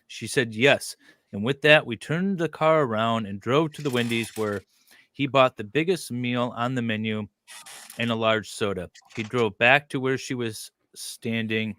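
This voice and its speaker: Bright-voiced man reading aloud with wry asides. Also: lowish voice, no echo. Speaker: podcast co-host reading a document aloud. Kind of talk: dramatic reading with casual asides.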